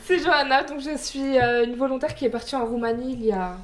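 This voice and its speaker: A woman's voice: sits high, quick pace, very noisy. Laughing, hesitant young woman guest. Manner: hesitant, many fillers, laughs easily. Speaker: guest, former volunteer. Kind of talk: casual interview chat.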